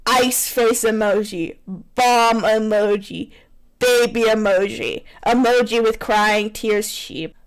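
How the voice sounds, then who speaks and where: A woman with a very high voice reads fan fiction aloud as casual comedy. Her delivery is animated and teasing, mixed with chatty banter. The microphone sounds rough and low in quality. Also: slow pace, low-quality recording. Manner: animated, teasing, breaks into laughter. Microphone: poor-quality microphone.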